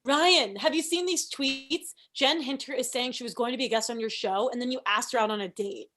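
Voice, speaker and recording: Woman acting a meddling coworker, oblivious, chatty, rather high voice, blunt, comedy table read. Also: fairly quick, a bit bright, a little hiss or hum, natural voice. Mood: urgent.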